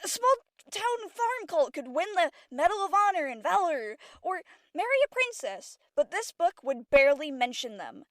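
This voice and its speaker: A woman's voice: extremely high pitch, sing-song, moderate pace, hardly any pauses, fairly bright tone, heavily acted. A woman voicing an earnest, stubborn teenage pony princess in a fan audio drama, outspoken and articulate. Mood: indignant. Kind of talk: animated-fandom audio drama acting.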